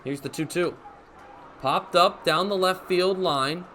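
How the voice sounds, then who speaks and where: A man's voice: high voice, bright, forceful tone. A man in his twenties doing radio baseball play-by-play as the announcer, with a descriptive delivery. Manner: descriptive, measured delivery that rises on action. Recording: clear background ambience noise.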